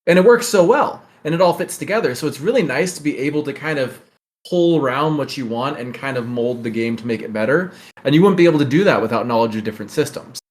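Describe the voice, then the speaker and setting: A man's voice: mid-range voice, animated, quick pace. A man with a mid-range voice chats as a podcast host. His delivery is casual and enthusiastic, like someone talking shop among fellow hobbyists.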